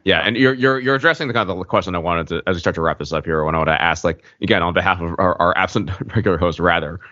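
A man co-hosting a casual analytical podcast, circling back over his words in a halting way as he thinks. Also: low-pitched, sharp tone, dry recording, highs cut off. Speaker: podcast co-host.

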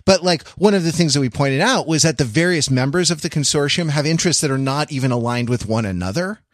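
A man co-hosting a podcast, talking casually and analytically in a halting, thoughtful way, circling back over his phrases. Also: on the high side, lively intonation, no echo, studio quality. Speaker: podcast co-host. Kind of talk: casual analytical panel chat.

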